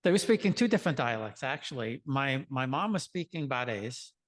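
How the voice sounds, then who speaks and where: A man hosts a casual interview conversation. He speaks haltingly and often restarts his sentences while he works out what to ask. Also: medium pitch, very animated, fairly bright tone. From Queens, New York.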